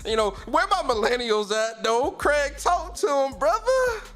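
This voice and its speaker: A man in his thirties hosting a casual gaming livestream, reading out viewer comments and giving loose, profane shout-outs to the chat. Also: extremely high pitch, animated, measured pace, music in the background. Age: 30s. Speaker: streamer and podcast host.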